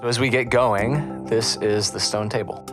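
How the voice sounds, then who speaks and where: A man's voice: medium pitch, fairly even intonation, moderate pace, music in the background. A man hosting a podcast in a conversational style. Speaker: podcast host.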